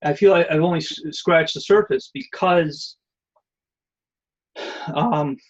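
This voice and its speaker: A man's voice: a little high, slow pace, lo-fi sound. A man giving an online presentation and answering questions, rambling with many fillers and restarts. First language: not Hebrew.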